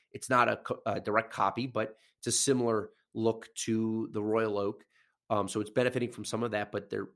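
A man hosting a podcast alone, sounding nasal while getting over a sinus infection, talking casually with many fillers and restarts. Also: fairly low pitch. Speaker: podcast host. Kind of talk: casual solo podcast talk.

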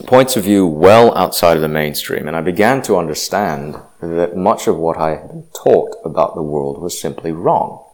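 A man's voice: sits low, a little mellow. A man giving a reflective personal testimony, hesitant and full of fillers and restarts. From grew up partly in Indonesia.

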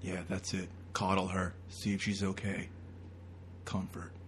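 A man's voice: deep voice, little pitch movement, measured pace, a little hiss or hum. A man cracking crude, irreverent jokes as he riffs over a comic film, sometimes slipping into silly voices. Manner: breaks into silly put-on voices and noises. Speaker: comedy riffer. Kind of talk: joking riff commentary over a comic film.

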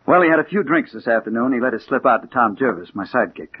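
Dramatic, hard-boiled American detective. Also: male voice, medium pitch, expressive, quick pace, husky. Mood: terse. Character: hard-boiled male private detective bent on revenge. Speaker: narrator and lead character. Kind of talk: 1940s radio crime narration and acting. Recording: muffled old radio recording with hiss.

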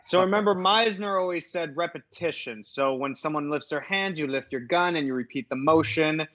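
A man plays a pretentious acting coach with an earnest, instructive delivery. His voice is fairly high and the sound is a little muffled. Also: normal speed, a bit bright, natural voice. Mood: earnest, commanding. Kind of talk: improvised audio comedy.

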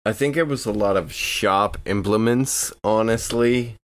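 Casting: male tabletop player, mid-to-low voice, dry close sound, casual talk. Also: unhurried, no room sound. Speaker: tabletop role-playing game player. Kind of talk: casual in-game chat.

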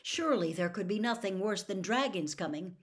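A woman's voice: mid-range voice, animated, fairly quick, voice pushed up, close to an everyday voice. Anxious teenage boy, voiced by a woman. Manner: raised, questioning, alarmed.

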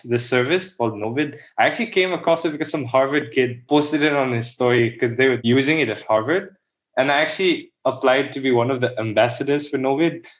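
Young man from the Philippines, podcast guest, rambling casual talk, many fillers, muffled lo-fi sound. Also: mid-range voice. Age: young adult.

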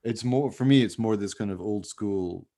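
Male podcast host, low register with plenty of fry, informal and jokey, rambling conversational delivery. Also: normal speed, dark tone. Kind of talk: casual chat.

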